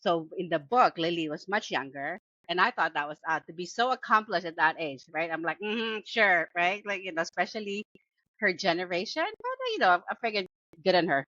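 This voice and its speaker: A woman's voice: on the low side, animated, fairly quick, bright, forceful tone, no echo. A woman with a Filipino accent chatting casually as a co-host of a book review show. She talks with many fillers and restarts and laughs often. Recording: dull lo-fi dry sound.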